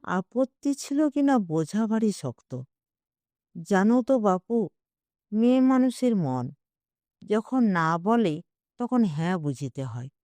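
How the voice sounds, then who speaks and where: Woman voicing an unhurried, wry old matchmaking gentleman. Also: mid-range voice, very animated, dry recording. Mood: wry, teasing. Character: old Bengali gentleman, persuasive, wryly self-justifying matchmaker.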